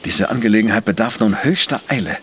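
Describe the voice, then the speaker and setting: An actor playing an arrogant, brilliant professor detective in an audio drama, speaking in a pompous, precise, lecturing way. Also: male voice, fairly low pitch, fast, a bit bright, a little hiss or hum, natural voice. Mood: urgent, commanding. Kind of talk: German audio drama acting.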